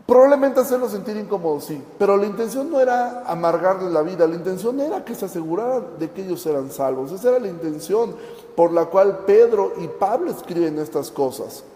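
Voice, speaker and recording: High-voiced preacher guy, mellow tone, lots of rhetorical questions, big echoey church hall. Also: lively intonation, brisk. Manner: earnest teaching, rhetorical questions, acts out quoted complaints. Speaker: pastor. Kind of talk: sermon. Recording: echoey hall with long reverb.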